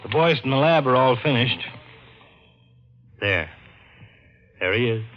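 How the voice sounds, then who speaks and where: A worn-out, practical police lieutenant, played by an actor in an old-time radio mystery. His mid-pitched voice is all business, on a dulled recording with faint noise. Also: lively intonation, measured pace, natural voice. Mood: businesslike. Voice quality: worn-out sounding. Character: weary, no-nonsense male police lieutenant. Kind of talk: old-time radio crime drama acting. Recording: muffled, slightly noisy old radio recording.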